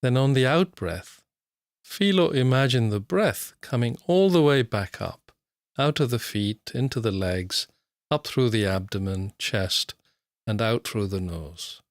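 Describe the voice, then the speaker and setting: A man narrating a guided meditation as an instructor, in measured, unhurried short phrases with long pauses. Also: medium pitch, lively intonation, no echo, clean studio sound.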